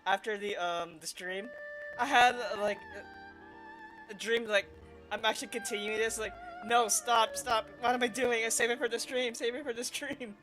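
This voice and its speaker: A woman's voice: on the high side, fairly even intonation, normal speed, poor audio. A woman game streamer giving casual, informal livestream commentary with hesitant phrasing. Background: loud game music.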